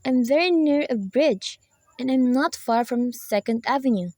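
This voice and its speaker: A woman's voice: high voice, expressive, normal speed, a little mellow, dry recording. A woman playing an anxious, imperious, bedridden wealthy wife in a radio play, speaking in a fretful, insistent way.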